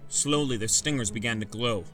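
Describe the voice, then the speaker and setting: A man's voice: mid-range voice, brisk, fairly bright tone, faint music behind. A man narrating a first-person fantasy adventure story in a scripted, dramatic audiobook style.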